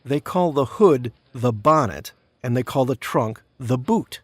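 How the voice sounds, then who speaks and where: Man acting dry, exasperated presidential chief of staff, unhurried. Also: mid-range voice, animated, dry recording. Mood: patient explaining. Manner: dry, formal, patient. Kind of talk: comedy audio drama acting.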